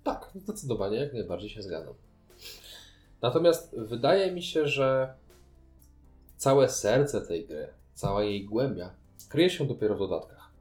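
Mellow analytical man in an echoey room. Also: mid-range voice, sing-song, a little slow, faint music behind. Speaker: podcast co-host. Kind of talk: casual board game discussion. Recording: roomy, echoing room sound.

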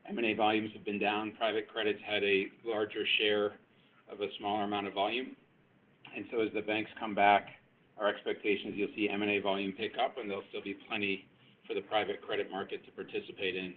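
A man's voice: on the low side, little pitch movement, fairly quick. A male company executive explaining things in a businesslike way on an earnings call, over a phone line.

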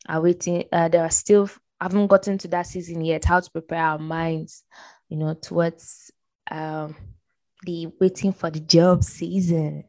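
A woman's voice: fairly low pitch, little pitch movement, unhurried, dark tone, no room sound, rough recording. A woman hosting a podcast, chatty and laughing readily with her co-host. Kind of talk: casual chat.

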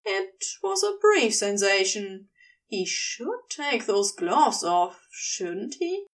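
A woman reading fiction aloud as an expressive scripted narration. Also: mid-range voice, slow, far from the mic. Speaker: fan fiction audiobook reader.

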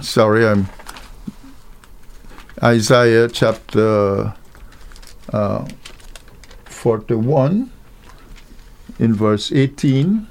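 Slow, halting man from Guyana. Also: mid-range voice, creaky, darkish tone. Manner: halting, searching for words. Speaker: interview guest. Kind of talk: slow reflective Bible discussion.

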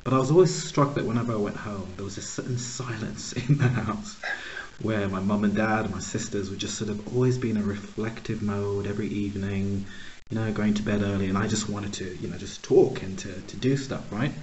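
A man's voice: fairly low pitch, fairly even intonation, fairly quick, darkish tone. A man from Uganda who grew up in the United States, hosting a casual interview and telling stories with enthusiasm. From Uganda, raised in the United States. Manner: enthusiastic storytelling with affirming interjections. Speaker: podcast host. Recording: dull lo-fi audio with faint noise.

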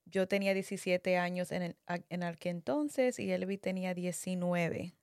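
A woman in her thirties whose first language is English, co-hosting a podcast in Spanish in a calm way and slipping in English words. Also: lowish voice, normal speed, smooth, dry recording, studio quality. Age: 30s. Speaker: podcast co-host. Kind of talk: casual personal chat.

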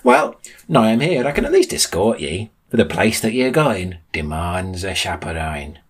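A man's voice: low-pitched, huge pitch swings, normal speed, breathy edge, heavily acted. A man voicing a silly, self-important storybook cousin who speaks in a quaint archaic dialect. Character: eccentric, self-important, silly male cousin, would-be rescuer.

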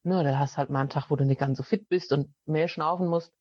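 A woman's voice: sits low, steady tone, fast talker, slightly rough, no echo. Woman guest telling her story, plain and matter-of-fact, dull lo-fi sound. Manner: plain-spoken and matter-of-fact, with frequent fillers. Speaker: interview guest. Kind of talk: casual conversational storytelling.

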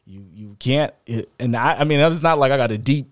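A man co-hosting a casual podcast, talking in an animated, rambling way with many restarts, his voice fairly high. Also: brisk. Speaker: podcast co-host.

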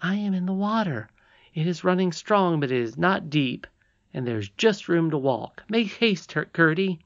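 Casting: young storybook princess played by an audiobook reader, high voice, sure of herself, insistent and earnest. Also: animated, moderate pace, muffled recording, natural voice. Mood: brisk, decided.

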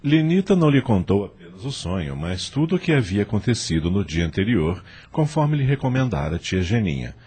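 A man's voice: on the low side, sing-song, brisk, hardly any pauses, darkish tone, husky. A man narrating a scripted radio drama as its storyteller.

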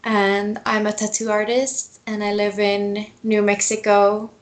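A woman, a tattoo artist chatting as a guest in a relaxed interview. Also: mid-range voice, measured pace. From Sweden. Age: 20s. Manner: relaxed, laughs often, many fillers. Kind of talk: casual interview.

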